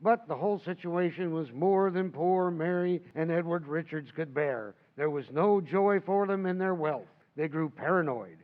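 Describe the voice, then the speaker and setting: A man playing an older male author who narrates his own tale in an old-time radio play, with a measured, wry manner. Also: on the high side, lightly acted. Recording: tinny phone-like sound.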